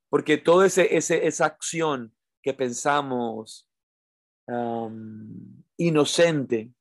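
Higher-voiced man teaching informally. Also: no echo, studio quality. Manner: didactic, repeats phrases for emphasis. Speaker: meditation teacher. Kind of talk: informal spoken teaching to an online audience.

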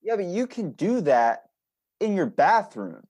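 A man's voice: high-pitched, measured pace, breathy edge. A man hosting a casual podcast chat, talking bluntly with plenty of swearing and acting out bits as he goes. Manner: blunt, profanity-laced, animated re-enacting.

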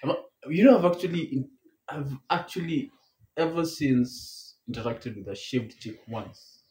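Chill young kenyan guy on a podcast, talks low and easy, roomy echo. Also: expressive, unhurried, a little mellow. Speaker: podcast co-host. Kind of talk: casual banter. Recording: echoey room.

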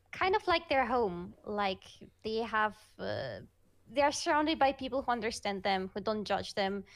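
Higher-voiced woman, Russian native speaker. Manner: chatty, laughs often, hesitant fillers. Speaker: streamer guest. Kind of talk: casual interview chat.

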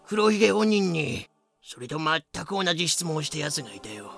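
A man playing a rough, lively old-hand pirate telling tales with slangy, dramatic delivery in a fan-made voice drama. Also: a little high, normal speed, soft background music, full character voice. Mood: grim storytelling. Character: rough lame ex-pirate storyteller, boisterous, lively.

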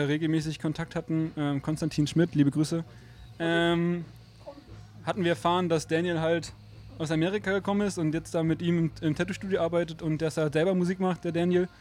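A man's voice: a little high, a little mellow. A man in a band, a native German speaker, telling a long story in a casual interview, rambling with lots of fillers and frequent laughter.

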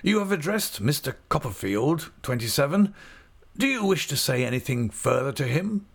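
A man's voice: fairly high pitch, sing-song, normal speed, creaky, husky, voice pushed up, full character voice. A man reading an audiobook, voicing a pompous, self-satisfied middle-aged male prison official. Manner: pompous and approving.

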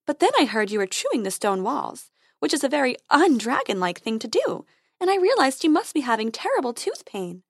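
A brisk, confident tooth fairy dentist, as a woman puts her on, high and chirpy, with lively leaps in pitch. Her voice is dry and close to the mic. She is an unflappable storybook heroine. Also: no echo, exaggerated voice.

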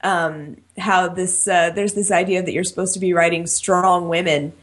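A young woman co-hosting a casual podcast, chatty and quick to laugh, often restarting her phrases. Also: lowish voice, steady tone. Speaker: podcast co-host.

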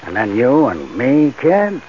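An actor playing a tough, confident crook who masterminds heists, in 1940s radio style, with slangy gangster talk. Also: male voice, medium pitch, wildly swooping pitch, takes it slowly. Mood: cocky, businesslike. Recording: muffled, noisy old-time radio recording.

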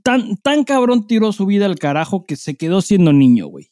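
A Mexican man banters casually as a podcast co-host. His voice sits a little high yet stays mellow, with wry, slangy, profane phrasing. It is recorded dry and close. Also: very animated, a little fast, no echo.

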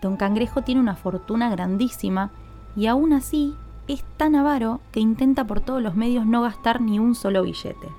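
Mellow woman narrating a podcast. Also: on the high side, normal speed, soft background music. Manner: explanatory, addresses listeners directly. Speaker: podcast host.

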